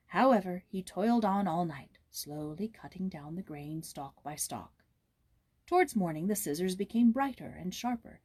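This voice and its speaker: A woman reading a fairy tale aloud as a storybook narrator, expressive and with dramatic emphasis. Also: mid-range voice, breathy edge, dry recording.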